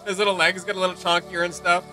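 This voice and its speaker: Young male reaction host, quick slangy chat, music behind. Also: sits high, little pitch movement, bright, forceful tone. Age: young adult. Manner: slangy, loose conversational delivery. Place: small home room with desk, indoors.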